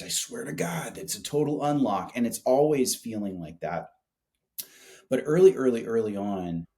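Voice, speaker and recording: A man's voice: lowish voice, a little mellow. A man being interviewed as a startup founder, chatting casually with colloquial, salty, emphatic storytelling. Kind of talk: casual business interview chat.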